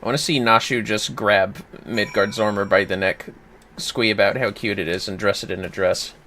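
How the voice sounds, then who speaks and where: A man's voice: lowish voice, steady tone, normal speed, pushed and bright, a little hiss or hum. A man chatting casually on a game livestream, joking in a playful, sweary way. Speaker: game streamer.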